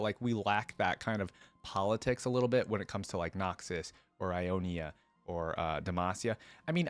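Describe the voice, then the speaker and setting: A man with a fairly low voice hosts a casual reaction video. He moves informally between reading aloud and offering his own thoughts. The sound is very dry, with next to no room in it. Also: no room sound.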